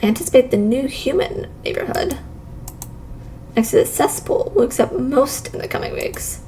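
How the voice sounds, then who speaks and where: A woman's voice: high-pitched, lively intonation, moderate pace, warm tone, slight background noise, low-quality recording. A woman voicing an earnest reptilian resistance leader, formally addressing a meeting in a game read-aloud. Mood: proud, announcing.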